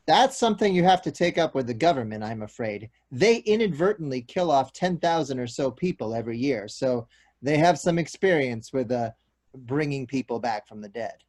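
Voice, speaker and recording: An actor playing a courteous, apologetic police detective who explains bad news in a measured, official way at a table read. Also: male voice, mid-range voice, lively intonation, darkish tone, close to an everyday voice. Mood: reassuring. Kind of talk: stage comedy-drama table read.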